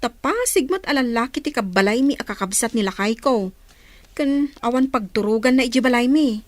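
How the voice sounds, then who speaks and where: A woman's voice: fairly high pitch, lively intonation, a little fast, no room sound, lightly acted. A woman playing an inquisitive, sympathetic aunt in a radio drama, with an Ilocano accent.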